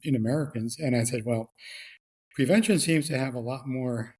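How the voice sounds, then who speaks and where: Middle-aged New York-raised guest, hesitant and filler-heavy, mid-pitched, remote call. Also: male voice, a little mellow, slightly rough. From New York, the Bronx. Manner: halting, many fillers and repeated words. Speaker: guest, regulatory affairs expert. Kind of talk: casual interview chat.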